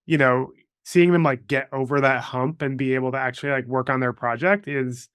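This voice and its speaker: Guy hosting a podcast, relaxed conversational chat, clean smooth voice, dry sound with no room echo. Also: mid-range voice, brisk. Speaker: podcast host. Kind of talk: casual interview chat.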